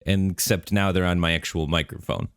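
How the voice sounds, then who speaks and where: A man streaming a game live, talking in a relaxed, rambling way with lots of fillers, his voice bassy on a studio microphone at his home setup. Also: fairly quick, gravelly, clean tone, no echo. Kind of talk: casual live-stream commentary. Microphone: studio microphone through an audio interface.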